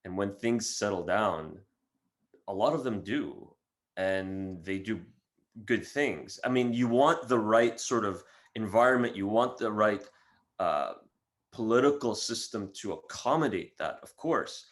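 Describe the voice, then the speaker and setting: A man from Syria hosts a podcast conversation and thinks aloud in halting, reflective phrases that he often restarts. His voice sits a little low. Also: animated. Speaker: podcast host. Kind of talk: podcast interview.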